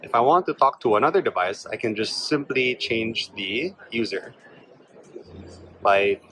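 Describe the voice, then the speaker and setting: A man's voice: medium pitch, expressive, measured pace, pushed and bright, rough recording. Male product demo presenter, faint background noise. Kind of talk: product demonstration, explanatory.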